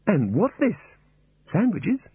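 Reluctant storybook hero male reader acting: weary, polite, wry, pitch wandering, husky edge. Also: huge pitch swings, slow pace, warm tone, no echo, lo-fi sound, exaggerated voice. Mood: wry, resigned.

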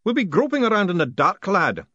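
A man's voice: high voice, very animated, brisk, bright, forceful tone, dry recording, lo-fi sound, pitched above the performer's own voice, full character voice. A man voicing a fatalistic old lodge master who talks in a weary, mournful way. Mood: gloomy, resigned.

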